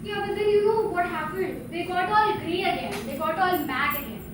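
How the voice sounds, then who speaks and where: Stage play wife, female actor: conversational, gently needling, heavy room echo with noise behind. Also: extremely high pitch, normal speed. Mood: gently insistent. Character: chatty, gently needling wife of a textbook publisher. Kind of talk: live stage play acting. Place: indoor theatre stage, live performance. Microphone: distant microphone. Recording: distant, very echoey theatre, background noise.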